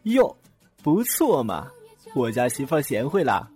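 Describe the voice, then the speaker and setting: An actor playing a good-natured, lovestruck young boyfriend with a mock-dramatic manner in a Mandarin radio drama. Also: male voice, mid-range voice, wildly swooping pitch, measured pace, warm tone, soft background music. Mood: mock-suffering. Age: young adult.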